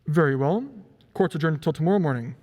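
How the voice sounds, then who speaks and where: A man playing a dignified, weary judge in an amateur radio play, formal and measured. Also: fairly high pitch, highs cut off, natural voice. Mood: formal, authoritative. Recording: long hall-like reverb.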